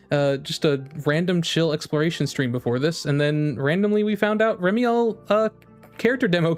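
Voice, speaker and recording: Rambling male streamer over music. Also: on the high side. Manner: rambling, repeats phrases. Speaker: game streamer. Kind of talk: casual livestream chat.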